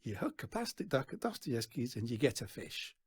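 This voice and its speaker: Guy doing a bossy folksy fairground stall man, swoopy husky voice, kids story. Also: medium pitch, sing-song, fairly quick, no echo. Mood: astonished. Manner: emphatic, folksy, patronising. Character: brisk, exasperated older fairground stallholder mentoring a lad.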